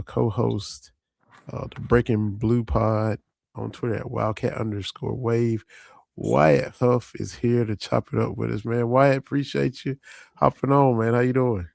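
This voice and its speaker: A hoarse man hosting a casual sports podcast, rambling loosely and repeating himself. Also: mid-range voice, a little mellow, no room sound. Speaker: podcast host.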